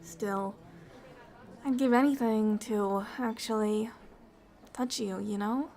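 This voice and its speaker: A woman playing a bubbly, affectionate alien ambassador in an ASMR roleplay, curious about humans, with expressive, earnest and slightly formal speech. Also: medium pitch. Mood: affectionate, sincere. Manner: expressive, earnest, slightly formal wording, halting when moved. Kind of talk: ASMR roleplay acting, intimate one-sided dialogue.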